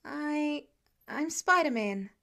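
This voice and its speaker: A woman's voice: high voice, expressive, takes it slowly, creaky, pushed and bright, voice pushed up, heavily acted. A woman voicing an earnest, awkward teenage boy superhero in an audiobook-style story, polite and hesitant, stumbling over words. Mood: nervous.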